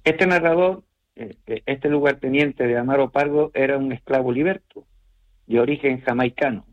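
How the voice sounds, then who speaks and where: Measured novelist on muffled radio. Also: male voice, medium pitch. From Canary Islands. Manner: measured, thoughtful, frequent hesitations and tag questions. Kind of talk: radio interview answers.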